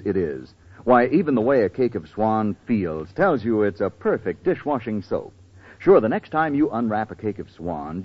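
A man announcing on 1940s radio, with a smooth, emphatic sales delivery. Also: mid-range voice, no echo. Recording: muffled 1940s radio recording.

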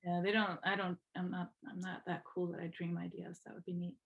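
A woman's voice: fairly low pitch, level tone, brisk, gravelly, phone-quality sound. Casual, self-deprecating woman chatting. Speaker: podcast guest, fan fiction writer. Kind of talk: casual conversational interview.

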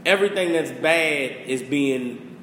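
A man's voice: on the high side, a little slow, bright, forceful tone. Passionate cursing man in an echoey room. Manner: passionate, preachy, profane. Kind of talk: casual opinion rant. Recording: echoey room with faint hiss.

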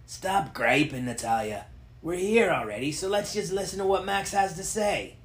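A blunt, impatient boy from an adventure storybook, played by a man. The voice is high and forceful, barking out orders. The room is clearly audible around it. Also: lively intonation, normal speed, echoey room. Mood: fed up. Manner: forceful, shouted commands.

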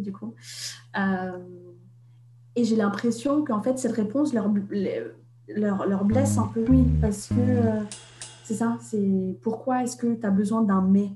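A young woman from Vietnam, an author and interview guest, talking in a reflective way and often pausing on fillers and restarts. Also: medium pitch, dark tone, reverberant, a little hiss or hum, low-quality recording. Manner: hesitant, many fillers and restarts.

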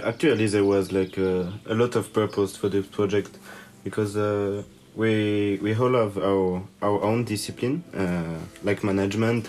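A young man from France with a French accent, a biology student who speaks haltingly with frequent "uh"s. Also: on the low side, a little mellow. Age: young adult. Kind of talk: casual self-introduction.

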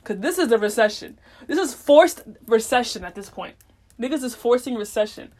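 A woman hosts a solo podcast in a loose, casual way. She speaks in slang and swears freely. Her talk is unfiltered and wanders as she thinks out loud. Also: on the high side, expressive, normal speed. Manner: meandering, thinking aloud. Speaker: podcast host. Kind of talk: casual rambling monologue.

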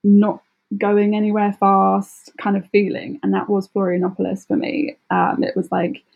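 Husky, creaky-voiced British woman chatting. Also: mid-range voice, completely flat delivery, moderate pace, narrow, tinny audio. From United Kingdom. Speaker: podcast guest, travel blogger. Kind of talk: casual conversational interview.

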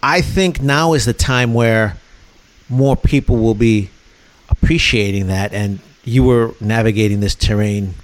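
A man's voice: lowish voice, unhurried, poor audio. A man from Chicago co-hosting a radio interview, enthusiastic and often repeating words as he starts his questions. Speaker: radio co-host.

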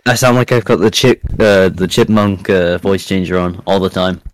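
A man's voice: low-pitched, steady tone, moderate pace, no echo. A young man chatting casually as a podcast guest, in a self-deprecating way. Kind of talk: casual chat.

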